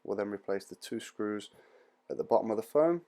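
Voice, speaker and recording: A man's voice: fairly low pitch, lively intonation, brisk, warm tone. A man giving an informal step-by-step tutorial voice-over in a plain-spoken, reassuring, explanatory manner. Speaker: repair tutorial presenter.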